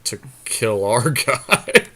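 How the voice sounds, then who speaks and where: A man co-hosting casual gameplay commentary with a friend, thinking his moves out loud in slangy speech. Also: medium pitch, sing-song, extremely slow. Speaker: podcast co-host playing an online card game.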